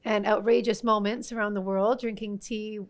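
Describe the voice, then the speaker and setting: A woman's voice: mid-range voice, fairly even intonation, moderate pace. A woman hosting a live tea festival panel, introducing her guests with frequent hesitations. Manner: hesitant, many uhs, welcoming. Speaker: host. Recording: live festival stage talk.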